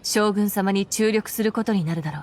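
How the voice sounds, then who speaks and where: A woman playing a stern, brisk military commander in an anime game, with a formal, assertive manner. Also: mid-range voice, a little mellow, lightly acted. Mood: firm, commanding. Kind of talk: Japanese anime game voice acting.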